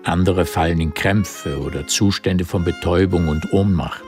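A man narrating a radio drama in a measured, terse literary style. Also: low-pitched, over music. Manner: measured, terse present-tense storytelling.

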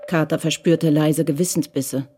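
A narrator reading the story of a radio crime drama in a calm, even way. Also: medium pitch, moderate pace, soft background music. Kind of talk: scripted narration.

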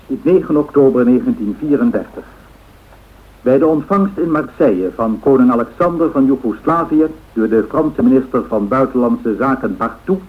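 A man's voice: medium pitch, lightly acted. Actor as tinny 1930s radio newsreader, male. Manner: formal, measured announcing. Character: formal 1930s radio newsreader, adult man. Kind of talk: scripted 1930s radio news bulletin. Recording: tinny, narrow-band old radio sound.

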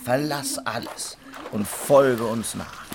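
An actor in a radio drama playing a boastful, lewd Dutch brothel keeper who tells stories in a theatrical, bragging way. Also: male voice, mid-range voice, slow pace, creaky, background noise. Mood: self-satisfied, bragging. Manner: theatrical, bragging, chuckling storyteller.

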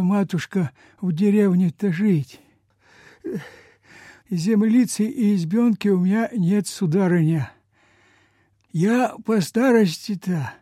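Poor old village beggar guy, slow humble mumbly pleading, soft dark voice, old radio play. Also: high voice, dry recording, clean studio sound. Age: older. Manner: halting, deferential, folksy rural speech. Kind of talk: Soviet radio play acting.

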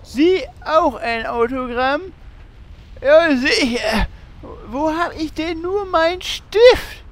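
Naive warrior hero for parody audio play: very high, animated, drawn-out speech. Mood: sheepish, friendly. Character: Horst, naive easygoing hero warrior and ex-film star. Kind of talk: German fantasy parody audio drama.

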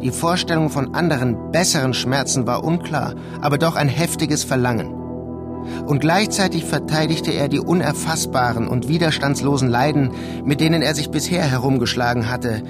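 An actor playing a brooding, detached narrator in a literary radio drama, speaking in a measured, sombre way. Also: male voice, mid-range voice, close to an everyday voice. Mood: brooding, reflective. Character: brooding, detached man narrating a war-torn city. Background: music behind the voice.

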